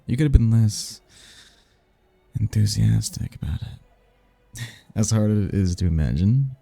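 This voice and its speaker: Smug, flirtatious demon prince played by a male actor, very dark husky voice, close dry sound, for ASMR roleplay. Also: no echo. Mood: smug, sultry. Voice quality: low, gravelly, husky. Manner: teasing, smug, drawn-out flirtatious delivery. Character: smooth-talking seductive male demon prince, playful, teasing, bored. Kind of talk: audio roleplay, ASMR-style voice acting.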